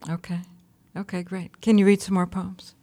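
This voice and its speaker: A woman hosting a radio show, calmly asking her guest straightforward questions. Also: mid-range voice, moderate pace. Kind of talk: interviewing a guest.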